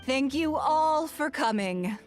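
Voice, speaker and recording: A poised, dignified lady of high office in an anime game. She speaks slowly and formally in a fairly high, elegant voice with music behind her. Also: animated. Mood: composed.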